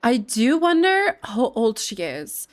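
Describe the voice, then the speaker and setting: A woman's voice: on the high side, very animated, slow. A young woman giving casual, gushing commentary while playing a game, thinking aloud and giggling. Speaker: gaming streamer. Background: faint game music.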